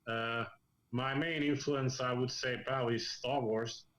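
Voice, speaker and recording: Rambling middle-aged comic artist, echoey room. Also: male voice, medium pitch, fairly even intonation, measured pace, fairly bright tone. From Hungary and the US. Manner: rambling, frank, with repeated restarts.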